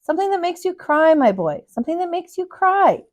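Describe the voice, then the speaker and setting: A woman voicing kindly elderly storybook neighbours who offer wisdom to a child in slow, emphatic phrases. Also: very high-pitched, big pitch swings, voice pushed up. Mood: kindly, wise.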